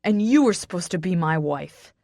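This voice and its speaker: A woman's voice: on the low side, moderate pace, no echo, clean studio sound, natural voice. A woman narrator voicing a bitter, wounded, hot-tempered man in an audiobook, with intense delivery. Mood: broken, anguished.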